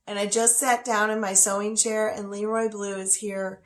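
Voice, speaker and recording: A woman's voice: mid-range voice, level tone, moderate pace. A woman with a scratchy voice and a slight cough, teaching a casual quilting lesson from her home sewing studio in a chatty, folksy way. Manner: chatty, folksy, talks to her dog between steps. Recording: echoey room.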